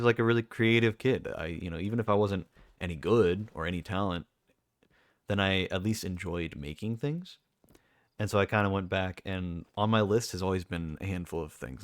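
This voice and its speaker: A young man with a deep voice, talking casually and rambling with lots of fillers and restarts as a streamer. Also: no echo, clean studio sound. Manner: rambling, self-correcting, many fillers.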